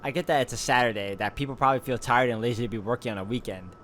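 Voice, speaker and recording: A man's voice: mid-range voice, rapid-fire, fairly bright tone, a little hiss or hum. A man hosting a let's play, reading visual novel narration aloud and dropping in casual asides.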